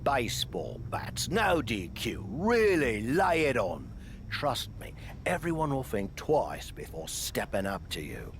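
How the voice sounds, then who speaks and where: Sly old-timer wrestler, whispery tips, voice swings way up and down. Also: male voice, medium pitch, huge pitch swings, slow pace, a little hiss or hum, full character voice. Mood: conspiratorial. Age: older. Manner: sly, advising, drops to whispers. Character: veteran male wrestler, old-timer mentor. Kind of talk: video game cutscene acting.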